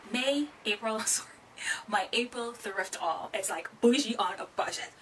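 A Jamaican woman in her thirties, chatting excitedly as she shows off thrifted bags and shoes while sitting on the floor of a small room. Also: a little high, moderate pace, far from the mic, noisy background. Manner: chatty, excitable, giggly asides. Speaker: fashion vlogger. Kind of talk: casual thrift haul vlog. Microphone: camera mic from a few feet away.

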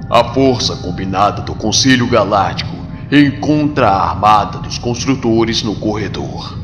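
Deep Brazilian male narrator in reverb. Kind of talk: dramatic scripted narration. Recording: long reverb, music underneath. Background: music.

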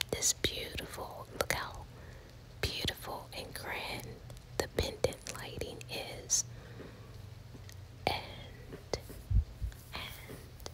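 Woman, whisper-only ASMR host, very slow, lingering delivery, swooping whispered phrasing. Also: low-quality recording. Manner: soft unvoiced whisper, slow and admiring. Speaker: ASMR house tour host. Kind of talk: whispered ASMR house tour. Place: inside an empty house, walking through rooms. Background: rain sounds.

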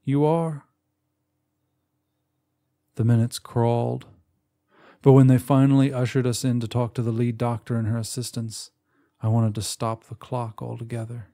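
A man narrating a first-person short story in a measured, expressive way with dramatic pauses. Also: medium pitch, gravelly. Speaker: audiobook narrator.

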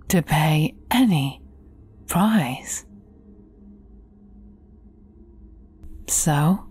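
Evil sorceress: low, mellow, theatrical menace with whispered turns, fairy-tale audio drama. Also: female voice, exaggerated voice. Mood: brooding, bitter. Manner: slow, theatrical, menacing, often dropping to breathy whispers.

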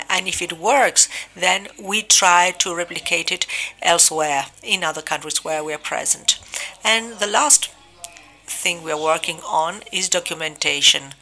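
A woman interpreting a conference keynote live, delivering it in measured phrases with short breaks. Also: fairly low pitch, pushed and bright. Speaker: simultaneous interpreter.

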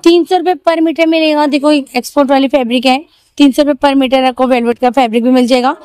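Brief: high, dry-sounding female shopping vlogger, chatty, repeats prices, outdoor market. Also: fairly even intonation, quick pace, a little mellow, no echo. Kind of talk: market walkthrough vlog.

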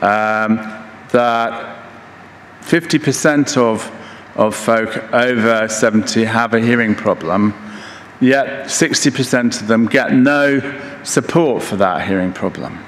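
Older guy in his 60s doing a keynote talk, kinda halting, big reverby hall, bit of noise. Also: fairly low pitch, a bit bright. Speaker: keynote speaker. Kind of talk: conference lecture. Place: large conference hall stage, indoors.

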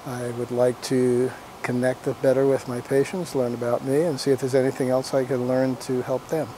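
A man in his fifties, an emergency physician, speaking in a halting, thoughtful way outdoors. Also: medium pitch, flat delivery, warm tone, a little hiss or hum, poor audio. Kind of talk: testimonial interview. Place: outdoors by a log cabin.

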